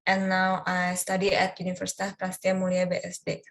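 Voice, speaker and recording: A woman's voice: on the low side, monotone, creaky, slight background noise. Twenty-year-old woman. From Indonesia. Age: 20s. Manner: measured answers with occasional fillers. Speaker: test candidate, peer examiner. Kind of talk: mock English speaking test.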